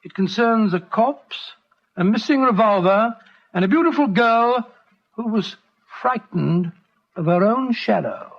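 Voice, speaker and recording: Bumbling English gentleman, high voice, hesitant bluster, 1940s radio drama, muffled vintage sound. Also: animated, unhurried, slight background noise. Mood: storytelling. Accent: British. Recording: dull vintage radio recording.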